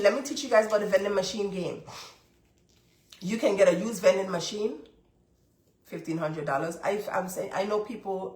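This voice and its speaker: Congested woman host in an echoey room. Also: mid-range voice. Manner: emphatic, repeats key points, talks straight to viewers. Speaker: livestream host. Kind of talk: motivational money talk to a live audience. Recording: roomy, echoey room sound.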